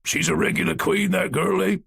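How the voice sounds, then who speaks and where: A man's voice: high voice, fairly even intonation, fairly quick, vocal fry, breathy edge, voice pushed up, heavily acted. A man playing a boisterous old Cossack rogue. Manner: loud, teasing, emphatic.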